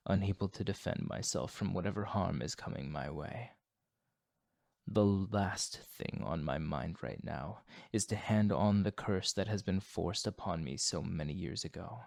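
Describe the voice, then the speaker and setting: A man playing a courteous, tormented vampire fighting his bloodlust in an ASMR roleplay, speaking formally and apologetically in a soft, intimate way. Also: sits low, creaky, dry recording, clean studio sound.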